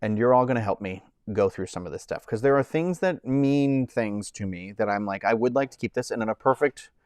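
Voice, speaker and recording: A man's voice: fairly quick, a little mellow, clear, resonant tone, no echo, studio quality. Rambling man chatting on a live stream. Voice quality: says he has a high-pitched voice. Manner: rambling, many fillers and restarts. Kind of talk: casual live-stream chat.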